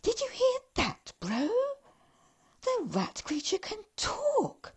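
Woman doing squeaky excitable elf brothers. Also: slow, full character voice. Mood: excited. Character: two cheery tiny male elf brothers, chirpy.

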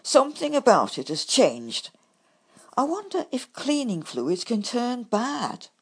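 A woman's voice: on the high side, huge pitch swings, takes it slowly, slightly rough, pitched above the performer's own voice, heavily acted. A woman voicing a boastful, snappish male rat who calls himself a super rat. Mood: indignant. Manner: snappish, pompous.

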